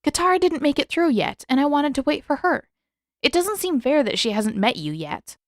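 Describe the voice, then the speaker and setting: A woman's voice: high voice, lively intonation, moderate pace, no room sound, studio quality, voice pushed up. A woman voicing an eager, easygoing young boy hero in a casual, chatty manner. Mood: cheerful, eager.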